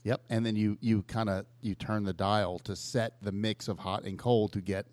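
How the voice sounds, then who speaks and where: A man's voice: lowish voice, fairly even intonation, normal speed, darkish tone, no echo. A man co-hosting a podcast with crude, tongue-in-cheek banter in a casual style. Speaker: podcast co-host.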